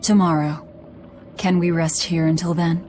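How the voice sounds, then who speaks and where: A woman's voice: on the low side, little pitch movement, unhurried, background noise, natural voice. An actress playing a hardened young woman bent on revenge in a fantasy game, with a terse, commanding way of talking. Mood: cool, commanding. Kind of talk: dubbed fantasy game acting.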